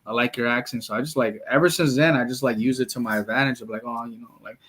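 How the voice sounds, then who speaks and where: A man's voice: medium pitch, steady tone, fast talker, fairly bright tone, slightly rough. A man from the United States with a Mexican-American accent, talking bluntly and profanely in a casual streamed chat, full of slang. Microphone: streaming call mic.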